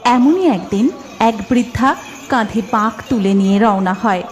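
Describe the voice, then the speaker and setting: A woman narrating a cartoon story in an even storytelling manner. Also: a little high, normal speed, soft background music.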